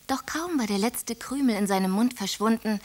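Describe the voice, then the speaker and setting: An actress playing a bossy, dreamy young princess who narrates a radio drama with lively storytelling and scolding. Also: female voice, fairly high pitch, normal speed, lightly acted.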